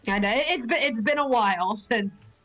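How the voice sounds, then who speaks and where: A woman's voice: on the high side, moderate pace, fairly bright tone, faint music behind, rough recording. A woman from Ohio with a nasal, stuffed-up voice from a cold, chatting casually on a stream. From Ohio, USA. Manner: chatty, uses lots of fillers. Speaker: stream co-host. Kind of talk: casual chat.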